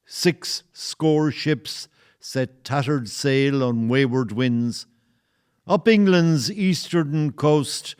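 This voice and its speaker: An actor as the solemn narrator of an audio play, delivering scripted narration in a portentous, theatrical way. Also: male voice, mid-range voice, clean tone. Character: solemn storyteller introducing and presiding over the play.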